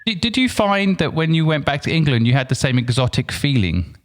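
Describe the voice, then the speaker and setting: A man's voice: a little high, big pitch swings, fairly quick. A man hosting a podcast, giving an upbeat intro and asking questions with stumbling repeats. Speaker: podcast host.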